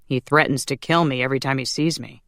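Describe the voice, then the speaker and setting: Woman voicing a low, grim male vampire leader. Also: steady tone, quick pace, fairly bright tone, no echo, studio quality. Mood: reluctant, exasperated.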